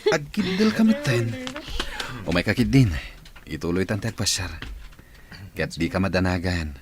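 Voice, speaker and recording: A hesitant young man in a radio drama, apologetic and easily flustered. His voice is very low yet moves widely in pitch, and a busy crowd murmurs behind him. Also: big pitch swings, moderate pace. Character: conflicted young man named Alfred.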